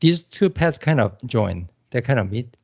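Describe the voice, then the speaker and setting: A middle-aged man with a Korean accent, Korean his first language, chatting as a guest teacher on a video call, relaxed and playful. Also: medium pitch, moderate pace, gravelly, lo-fi sound. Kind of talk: casual interview over video chat.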